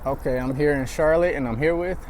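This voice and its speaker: A man hosting a casual street interview outdoors, relaxed, asking questions and giving short agreeing replies. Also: on the high side, fairly quick. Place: outdoors on a trail. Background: faint traffic.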